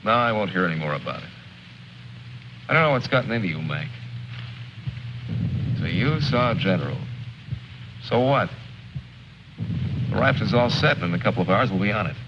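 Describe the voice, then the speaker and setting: A curt, pragmatic military leader. His voice is fairly low yet lively in pitch, with exasperation in it. The old film soundtrack is dull and clearly noisy. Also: moderate pace, pauses often. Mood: exasperated. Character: pragmatic, impatient military leader wanting to escape. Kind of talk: mid-century American film drama acting.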